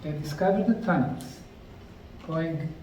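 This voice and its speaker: A middle-aged man slowly narrating a documentary on location at ancient ruins, explaining the sites like a tour guide. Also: on the high side, a little mellow, a little hiss or hum. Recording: roomy, distant mic with faint outdoor ambience.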